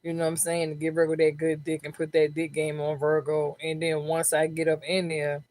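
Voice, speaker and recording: A woman's voice: monotone, brisk, darkish tone. Slangy, profane woman reading tarot. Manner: slangy, profane, keeps asking "you know what I'm saying"; lowers her voice to keep it down. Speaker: tarot reader. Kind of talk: live tarot reading, casual chat. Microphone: own microphone, close up.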